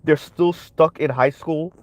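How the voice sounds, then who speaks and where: A man's voice: on the high side, fairly even intonation, a little slow, lo-fi sound. Stuffy young man with a cold. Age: young adult. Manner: emphatic, frequent tag questions. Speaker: commentary channel host. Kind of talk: livestream social commentary.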